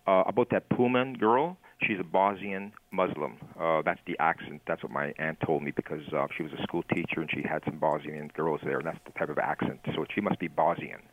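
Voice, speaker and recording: A deep-voiced man talks casually as a phone-in caller. The telephone line makes him sound thin and band-limited, with no room echo. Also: steady tone, a little fast, few pauses. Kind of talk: casual opinion talk. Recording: dull, narrow phone line.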